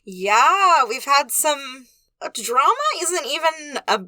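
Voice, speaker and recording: A young woman co-hosting a podcast in casual chat, loud and animated, recorded in a small booth. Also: sits high, slow, dry recording. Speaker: podcast co-host.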